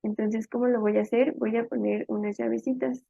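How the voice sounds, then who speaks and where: A woman with a fairly high voice and a creaky edge teaches step by step, talking through each move informally as she works. The sound is narrow and phone-like, with very little room around it. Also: little pitch movement, fairly quick, dark tone, no echo. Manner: informal explanatory, thinking aloud. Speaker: programming instructor. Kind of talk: step-by-step tutorial narration while typing.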